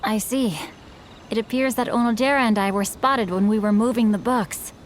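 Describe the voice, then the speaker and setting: A woman's voice: a little high, moderate pace, slight background noise, close to an everyday voice. A woman voicing a polite young male swordsman and novelist in a video game, with formal, courteous phrasing. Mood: earnest.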